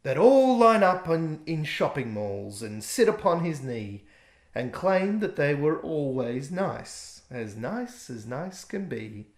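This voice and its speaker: Guy reading a rhyming kids book out loud, slow and lively, kinda echoey room. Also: fairly high pitch. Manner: gentle, expressive read-aloud. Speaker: author reading his book. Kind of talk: rhyming storybook narration.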